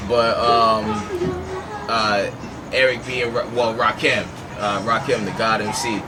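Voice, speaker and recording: A man's voice: fairly low pitch, flat delivery, a little slow, music in the background. A man in his thirties from San Diego, hosting a casual interview in a laid-back, slangy way with lots of fillers. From San Diego, West Coast of the US. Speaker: podcast host.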